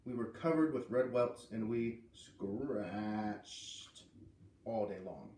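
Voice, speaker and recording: A man reading a children's novel aloud as an expressive storyteller. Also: fairly low pitch, takes it slowly, a little hiss or hum. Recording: roomy echo, distant from mic.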